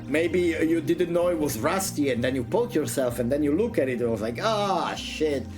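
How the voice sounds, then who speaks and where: Animated, joking man streamer over music. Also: a little high, a little fast. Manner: joking, playful, exclamatory. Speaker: vtuber streamer. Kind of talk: casual livestream chat while reading a visual novel.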